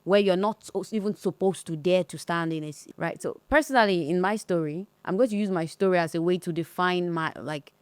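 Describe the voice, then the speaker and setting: A young woman from northern Nigeria hosting a casual podcast chat, speaking thoughtfully and pausing to search for words. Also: fairly low pitch, smooth, dry recording. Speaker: podcast host.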